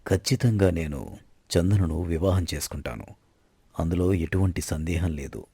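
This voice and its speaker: Man playing a deep, overconfident prince. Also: moderate pace, gravelly, warm tone, slightly rough, no echo, studio quality, heavily acted. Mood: confident, scheming. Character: overconfident ambitious prince bargaining for the throne.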